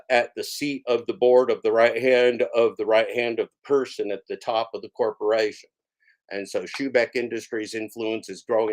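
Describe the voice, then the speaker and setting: A man hosting a livestream, rambling in a folksy, mock-grandiose way, with lots of filler "uh"s and phrases he repeats for comic effect. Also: medium pitch. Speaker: livestream host.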